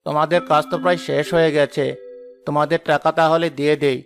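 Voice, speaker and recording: A man's voice: fairly high pitch, little pitch movement, quick pace, faint music behind, close to an everyday voice. A man voicing an older, well-off village uncle in a cartoon, genial but self-serving, with a placating manner. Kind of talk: Bengali moral-story cartoon dubbing.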